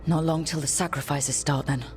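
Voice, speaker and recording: Resolute adventuring party leader. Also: female voice, low-pitched, fairly even intonation, normal speed, faint music behind. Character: resolute fantasy party leader, steady and determined.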